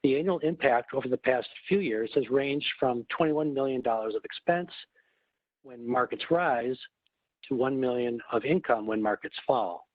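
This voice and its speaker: A man giving a prepared, formal webinar presentation in a measured, explanatory manner, as a corporate executive. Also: mid-range voice. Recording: muffled phone line, roomy echo.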